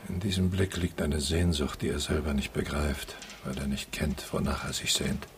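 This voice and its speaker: An actor playing a pensive, melancholy film director in a German radio drama, musing earnestly. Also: male voice, a bass voice, level tone, moderate pace, a little hiss or hum.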